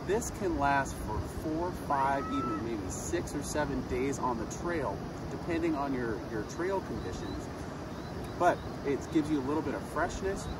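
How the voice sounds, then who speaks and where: A man's voice: medium pitch, expressive, moderate pace, heavy background noise. A man in his forties hosting an upbeat outdoor cooking vlog on a beach, chatty and enthusiastic, recorded on a camera a few feet away. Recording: distant mic, loud outdoor ambience.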